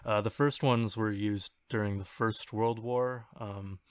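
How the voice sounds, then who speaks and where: A man co-hosting a podcast, explaining things in an informal, halting way. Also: lowish voice, fairly even intonation, smooth, no echo. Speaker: podcast co-host. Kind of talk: casual explanatory podcast chat.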